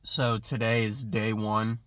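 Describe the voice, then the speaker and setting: A man's voice: fairly low pitch, little pitch movement, slow pace, fairly bright tone, no echo. A young man vlogging from his car, recounting a dream in a hesitant, rambling way with lots of fillers, a little congested from allergies. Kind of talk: casual rambling monologue. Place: in a parked car.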